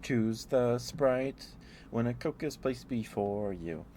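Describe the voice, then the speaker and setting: A man streaming casual, rambling ASMR chat, telling stories in a halting, meandering way. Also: medium pitch, lively intonation, warm tone. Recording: clearly audible background noise.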